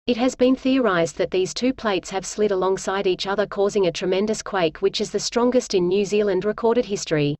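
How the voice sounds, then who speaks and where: Female emergency announcer, formal scripted read, average pitch, very little room sound. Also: fairly quick, hardly any pauses, a little mellow, no echo.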